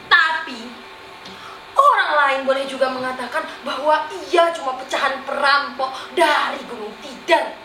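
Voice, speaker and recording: A young woman acting a boastful, mocking self-styled artist in a theatrical stage monologue, exaggerated and taunting, with drawn-out, singsong words. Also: high voice, expressive, pushed and bright, heavily acted. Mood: haughty. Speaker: student stage actor in a monologue competition. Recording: roomy echo, faint background noise.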